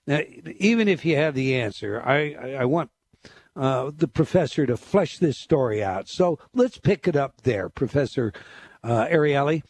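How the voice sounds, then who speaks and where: A man's voice: medium pitch, expressive, normal speed, a little mellow. A man hosting a talk radio show, introducing a guest and reading promotions with frequent hesitations.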